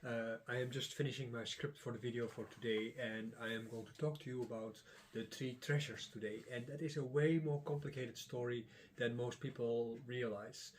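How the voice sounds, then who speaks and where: A middle-aged man whose first language is Dutch gives a casual lesson, pausing often with filler sounds. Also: mid-range voice, steady tone, slight background noise. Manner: rambling, many filler sounds and restarts. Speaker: Taoist teacher and channel host.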